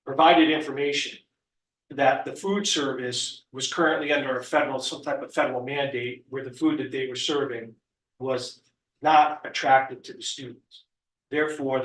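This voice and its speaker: A man, hoarse from a cold, speaking as a union representative and laying out a measured formal account at a board meeting. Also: mid-range voice, fairly bright tone. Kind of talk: formal public address. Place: large meeting room. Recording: echoey room, voice from far off.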